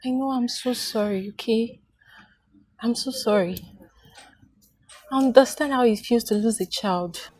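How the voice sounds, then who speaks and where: A woman's voice: a little high, a little slow, long pauses, a little mellow, low-quality recording. An actress playing a consoling woman friend who talks in a soothing way, repeating her apologies over and over. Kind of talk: melodrama scene.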